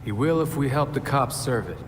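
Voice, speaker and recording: An actor playing an earnest, principled vigilante in a video game. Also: male voice, mid-range voice, expressive, a little fast, lightly acted. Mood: earnest, resolute. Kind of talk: dramatic video game cutscene acting. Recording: echoey reverb, faint background noise.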